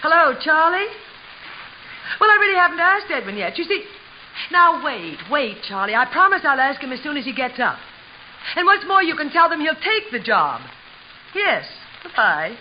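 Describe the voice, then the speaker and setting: An actress playing the hopeful, long-suffering wife of a vain actor in an old-time radio comedy, genteel and theatrical. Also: female voice, high-pitched, very animated, moderate pace. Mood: brisk, determined. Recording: dull, hissy old radio recording.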